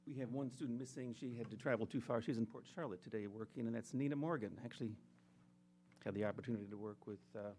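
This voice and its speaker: A man's voice: medium pitch, quick pace, darkish tone, slight background noise. A man introducing his students at a public board meeting and thanking the board in brief, informal remarks. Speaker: program leader introducing students.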